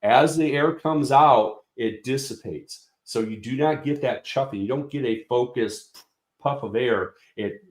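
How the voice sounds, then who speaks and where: A man's voice: mid-range voice, a little slow, roomy sound. A man representing a product company, explaining speaker design in a casual technical walkthrough with many fillers and restarts.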